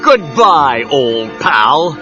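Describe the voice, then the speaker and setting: An actor playing a manic, sneering gangster supervillain in an audio drama, taunting theatrically with mock politeness. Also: male voice, mid-range voice, very animated, very slow. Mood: sneering spite. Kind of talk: radio-drama villain acting. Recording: dull cassette audio with dramatic music.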